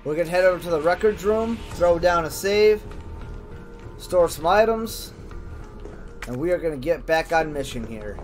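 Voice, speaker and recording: A man's voice: on the high side, animated, normal speed, long pauses, a little mellow. A man doing casual gameplay commentary, thinking aloud and chatting informally to his viewers. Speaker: gamer, host of a let's play. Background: game music.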